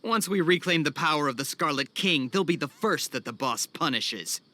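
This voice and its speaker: An actor playing a scornful, menacing mercenary and shady dealer in a video game, with a sneering, boastful manner. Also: male voice, on the high side, lively intonation, moderate pace, sharp tone. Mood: scornful, vengeful. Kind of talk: video game cutscene acting.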